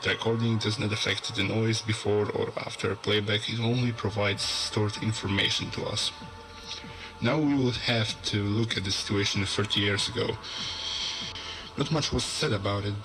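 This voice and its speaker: A man playing a sober narrator who lays out an ominous theory in a measured, expository horror narration. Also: lowish voice, natural voice, low-quality recording. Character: male narrator soberly presenting a theory of apocalyptic anomalies. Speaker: narrator of an analog horror video. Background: background music.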